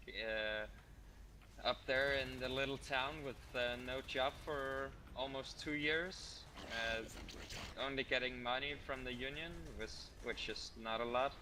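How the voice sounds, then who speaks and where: A young Danish man with a bright, forward voice talks casually as a guest, pausing often on ums and uhs. His English has a Danish accent. A faint hiss sits under the recording. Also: medium pitch. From Denmark. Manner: hesitant, many ums and uhs. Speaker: guest gamer. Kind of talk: casual interview chat.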